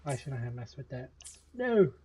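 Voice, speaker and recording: A man's voice: mid-range voice, very animated, normal speed, darkish tone, slightly rough. A man streaming a video game, giving casual, informal and reactive commentary with frequent exclamations.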